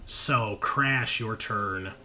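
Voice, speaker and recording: A man's voice: lowish voice, drawn-out words, pushed and bright. A man acting as game master for a tabletop roleplaying game, thinking aloud as he narrates and rules on the action. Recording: background music under voice.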